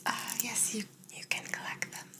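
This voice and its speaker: A woman playing a curious, teasing alien abductor who soothes a human in a soft whispered ASMR roleplay with gentle reassurances. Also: moderate pace, lightly acted. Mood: gentle, wry.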